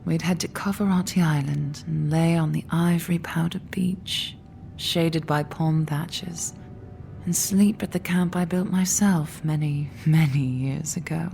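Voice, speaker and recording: Low, hushed, gravelly immortal queen. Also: steady tone, unhurried, soft background music. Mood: tender, intimate. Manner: intimate, hushed, often slipping into whisper. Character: immortal woman cult leader, tender, weary, resolute lover. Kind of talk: full-cast sci-fi audio drama acting.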